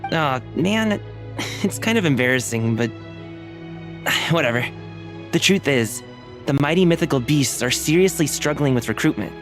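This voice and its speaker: A friendly young male dancer talks in a casual, upbeat and self-effacing way. His pitch jumps around animatedly, in a game-acting style with faint music behind. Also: animated, measured pace, pauses often, fairly bright tone. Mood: cheerful, bashful.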